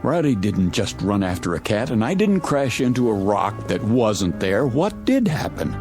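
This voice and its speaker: A man's voice: lowish voice, big pitch swings, normal speed, over music. A man playing a suspicious, irritable newspaper reporter stranded in a small town, curt and guarded, in an audio drama. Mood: irritated, defiant.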